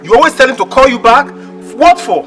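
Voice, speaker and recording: High-voiced, jealous boyfriend. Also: male voice, very animated, quick pace, pushed and bright, soft background music, heavily acted. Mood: suspicious, accusing. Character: jealous, suspicious boyfriend, stern and demanding. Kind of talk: film drama acting.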